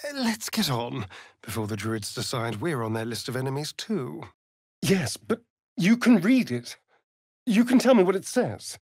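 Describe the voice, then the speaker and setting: An actor playing a vain, sardonic vampire rogue in a fantasy video game, with an arch, drawling, theatrical delivery. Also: male voice, on the high side, very animated, unhurried, a little mellow, dry recording, studio quality, full character voice. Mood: dry, flippant.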